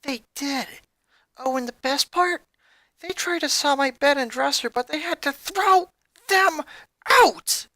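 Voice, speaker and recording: A woman's voice: high-pitched, slow pace, sharp tone, no echo, voice pushed up, exaggerated voice. A woman voicing an angry, hurt young boy in an emotional, emphatic fan fiction reading. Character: angry, hurt young boy venting about his family.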